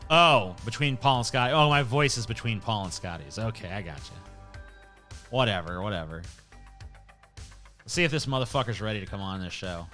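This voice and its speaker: A man's voice: lowish voice, animated, fairly quick, long pauses, sharp tone, faint music behind. A man hosting a livestream, reading chat comments aloud in a rambling, profane, casual way. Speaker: livestream host.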